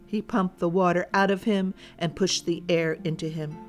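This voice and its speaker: A woman's voice: on the low side, fairly even intonation, normal speed, soft background music. A woman storyteller narrating a children's folktale as a scripted read-aloud.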